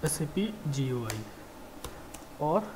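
A software trainer giving an informal technical lecture, explaining in a halting, repetitive way with frequent check words. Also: medium pitch, animated, noisy background.

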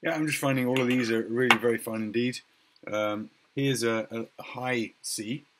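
A middle-aged man with a croaky voice, casually showing instruments from his shop on a webcam video at home, pausing often with ums and uhs. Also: medium pitch, a little hiss or hum. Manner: relaxed, hesitant with many ums and uhs. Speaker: music shop owner showcasing instruments. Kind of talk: casual product demo video. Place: home music room indoors.

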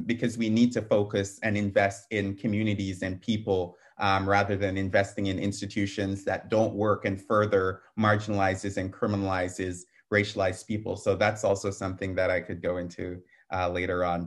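A young man speaks earnestly on a video-call panel through a headset mic, his voice sitting slightly low. He talks fluently but fills pauses with ums, in a small room at home. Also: little pitch movement. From Canada. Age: young adult. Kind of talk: webinar talk.

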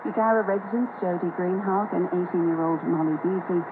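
A woman's voice: fairly low pitch, brisk, narrow, tinny audio. An Australian woman hosting a radio news programme with a scripted delivery, over shortwave. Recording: very noisy, hissy shortwave radio reception.

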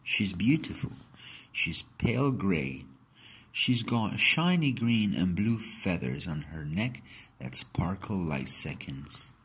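A man narrating a children's story aloud in an expressive, dramatic way. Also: sits low, takes it slowly, slightly rough.

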